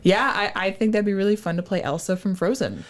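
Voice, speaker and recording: A woman chatting on a podcast in a relaxed, slightly hesitant way. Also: mid-range voice, fast talker. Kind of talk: casual podcast chat.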